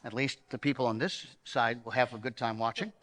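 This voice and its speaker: A man's voice: medium pitch, fairly even intonation, a little fast, a bit bright. A man giving an informal, chatty live introduction as a presenter, recorded in an echoey hall before an audience.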